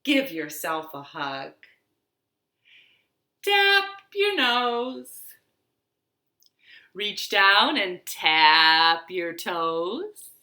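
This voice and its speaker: A woman's voice: on the low side, very animated, drawn-out words, long pauses, pushed and bright. A woman hosting storytime for young children, speaking in simple, encouraging phrases as she leads rhymes and reads aloud. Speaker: children's storytime host at a library. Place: living room at home. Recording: roomy, echoing home room sound.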